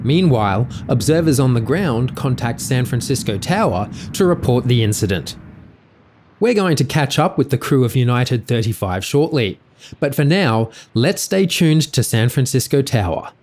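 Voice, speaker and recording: A man's voice: mid-range voice, very animated, normal speed, background noise. A man delivering scripted documentary narration.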